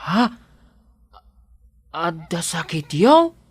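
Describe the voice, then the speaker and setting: A woman's voice: lowish voice, very animated, drawn-out words, husky, no echo, studio quality, full character voice. A woman playing a simple-minded, kindly village handyman in a radio comedy drama, naive and deferential, with an Ilocano accent. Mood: deferential, curious.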